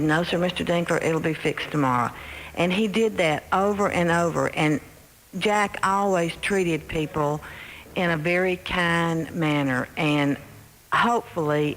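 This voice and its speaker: A woman's voice: low-pitched, vocal fry. A middle-aged woman, reminiscing anecdotally as a guest in a radio interview. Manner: anecdotal, quoting remembered conversations. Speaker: interview guest, music publishing executive. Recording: noisy, hall-like reverberant radio aircheck.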